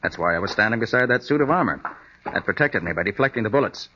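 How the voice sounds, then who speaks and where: Brisk American male sleuth, vintage radio drama: forceful, lively delivery, muffled old recording. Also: lowish voice, a little hiss or hum. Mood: analytical. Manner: crisp, authoritative. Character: confident, brisk male private detective. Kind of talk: 1940s radio detective acting.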